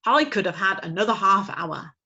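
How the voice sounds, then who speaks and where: A woman voicing a grumpy, sleepy father barn owl with a gruff, blustering manner. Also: mid-range voice, expressive, moderate pace, pushed and bright, highs cut off, full character voice.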